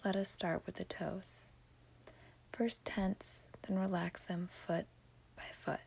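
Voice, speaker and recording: A woman podcast host whispering a guided sleep meditation in a breathy, hushed, soothing manner. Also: fairly low pitch, level tone, slow.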